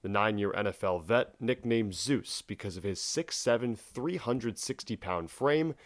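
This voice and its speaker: A man's voice: fairly low pitch, expressive, unhurried, no echo, studio quality. A young man reading scripted narration for a TV sports feature as a reporter. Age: young adult.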